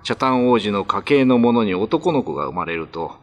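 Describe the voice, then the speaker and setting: A man's voice: on the low side, big pitch swings, normal speed. A man narrating a classical ghost story for radio drama in a theatrical, ominous, scripted style. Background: music.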